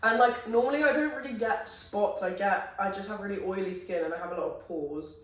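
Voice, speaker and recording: Young woman, deep voice, frank casual chat, echoey room, distant mic. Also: brisk. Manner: frank, laughs often, chatty. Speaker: podcast co-host.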